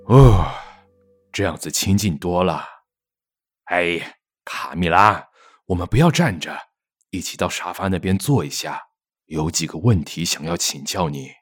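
A man's voice: low-pitched, measured pace, slightly rough. A man playing a worried, courteous middle-aged father in a radio drama. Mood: gentle, courteous.

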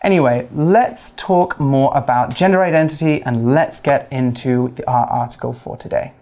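A man speaks as the co-host of an English lesson. His style is conversational and explanatory, pitched as an informal chat with a partner and learners. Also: moderate pace, a little mellow.

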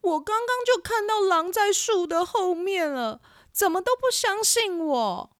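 A woman's voice: very high-pitched, lively intonation, measured pace, dry recording, studio quality, voice pushed up. A woman voicing a plaintive, aggrieved young shepherd boy in a children's audio drama. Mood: hurt. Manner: plaintive, calling out.

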